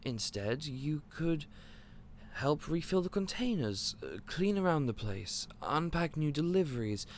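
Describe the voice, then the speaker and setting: An actor playing a gentle, clumsy candy shop owner who stammers and speaks in a polite, old-fashioned way. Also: male voice, a little high, sing-song, measured pace, slight background noise. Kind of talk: audio roleplay acting.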